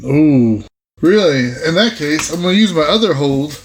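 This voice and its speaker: A man's voice: on the high side, expressive, moderate pace, slight background noise. A man playing a tabletop game, chatting casually as he decides what his character does.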